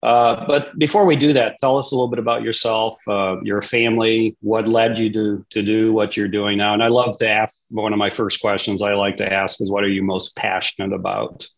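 A man in his sixties, from Papua, hosting a podcast in a relaxed, rambling way with many pauses and restarts. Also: on the low side, rough recording. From Papua, Indonesia. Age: 60s. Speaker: podcast host. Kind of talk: casual interview chat.

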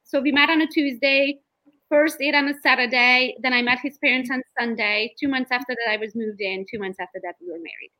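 Woman with a Romanian accent, thirties, bright clear tone, slightly high voice, chatty and lively, laughs often. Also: fairly quick, low-quality recording. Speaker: guest, real estate agent. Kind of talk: casual podcast interview.

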